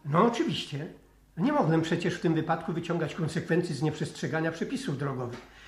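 A matter-of-fact officer played by a male actor in a vintage radio play. His voice sits a little high, reporting to superiors in an echoing studio. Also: animated, brisk, a little hiss or hum, lightly acted. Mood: matter-of-fact, explaining. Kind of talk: 1960s Polish radio drama acting.

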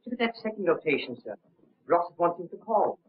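Fussy, nervous manservant, high swooping husky voice, obsequious, tinny 1930s film sound. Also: male voice, very animated, brisk, exaggerated voice. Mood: anxious, deferential. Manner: obsequious, polite.

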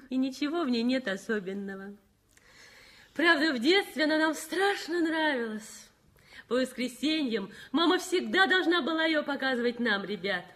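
An earnest young nurse. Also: female voice, high-pitched, expressive, normal speed. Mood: tender. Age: young adult. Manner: warm, gently insistent reassurance. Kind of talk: 1960s radio play acting.

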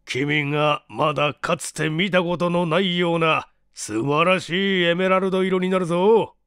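A man voicing a gruff, blustering, eccentric older professor in an audiobook mystery story. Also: a little high, fairly even intonation, unhurried, a bit bright, dry recording, studio quality. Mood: proud. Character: cantankerous, eccentric older male professor who scolds. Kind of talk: audiobook character acting in Japanese mystery story.

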